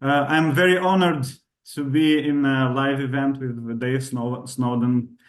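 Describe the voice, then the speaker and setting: A mid-pitched man with a Romanian accent asks his question politely. He stops often with "uh" and restarts his phrases, speaking English as a non-native over a video call. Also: steady tone. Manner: hesitant, many "uh" pauses and restarts, polite. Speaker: audience member asking a question.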